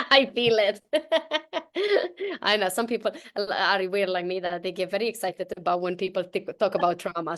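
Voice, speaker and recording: A woman from Romania with a Romanian accent, hosting a casual online group call, bubbly and quick to laugh, often restarting her sentences. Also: mid-range voice. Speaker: host.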